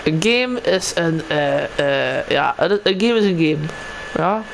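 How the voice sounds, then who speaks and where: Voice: medium pitch, animated, takes it slowly. A player voicing a friendly, casual local townsman in an improvised game roleplay, explaining things hesitantly. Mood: hesitant, helpful. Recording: clearly noisy game voice recording. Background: game ambience and vehicle sounds.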